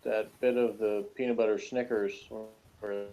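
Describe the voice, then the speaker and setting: A man's voice: on the low side, little pitch movement, a little slow, dark tone, dull audio, soft background music. A man chatting casually and critically, giving blunt opinions as a reviewer of a TV episode.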